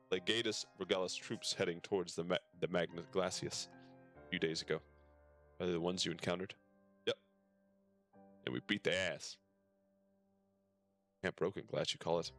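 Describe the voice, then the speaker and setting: A man's voice: deep voice, fairly bright tone. A man streaming a game, reading its dialogue aloud with casual asides and the odd stumble over the words. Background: game music.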